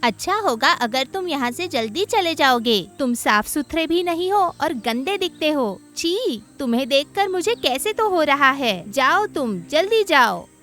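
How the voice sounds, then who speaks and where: Woman as vain female fish, cartoon, high pitch, animated swings, haughty, mocking. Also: unhurried, few pauses, soft background music, full character voice. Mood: hostile. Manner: contemptuous, haughty. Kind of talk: Hindi children's animated story acting.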